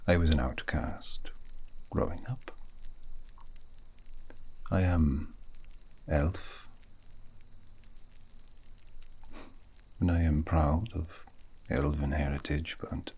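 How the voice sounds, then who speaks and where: Guy doing a wistful elf mage for asmr roleplay, super low gravelly half whisper, really slow and thoughtful. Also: fairly even intonation, a little mellow, exaggerated voice, rough recording. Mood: wistful, quietly proud. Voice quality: breathy, gravelly, often slipping into whisper. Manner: slow, deliberate, reflective, with long pauses. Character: wistful, scholarly elf apostate mage, an outsider dreamer.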